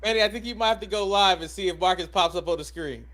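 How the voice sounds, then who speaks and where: A high-voiced American man co-hosts a casual live podcast. He banters in a teasing, profane way and repeats words to drive a point home. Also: quick pace, a bit bright. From United States. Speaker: podcast co-host.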